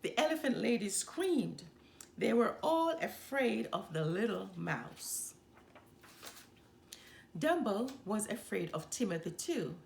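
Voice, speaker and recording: A woman reading a children's storybook aloud with deliberate, expressive delivery. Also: mid-range voice, creaky, slight background noise.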